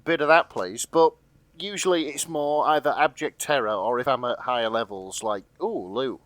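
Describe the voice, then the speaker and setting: A man's voice: mid-range voice, moderate pace, a bit bright, dry recording. A man co-hosting a podcast in casual banter with friends, joking and swearing freely. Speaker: podcast co-host.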